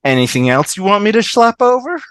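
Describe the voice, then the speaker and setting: A woman's voice: medium pitch, very animated, brisk, clear, resonant tone, no echo, close to an everyday voice. A woman reading a sarcastic, easygoing woman in a sitcom table read. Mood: breezy sarcasm. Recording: home video call reading.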